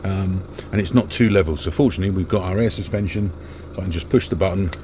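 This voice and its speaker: A man's voice: deep voice, fast talker. A middle-aged British man chatting casually to camera as a travel vlogger. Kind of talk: casual vlog chat to camera. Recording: noisy vehicle and outdoor ambience.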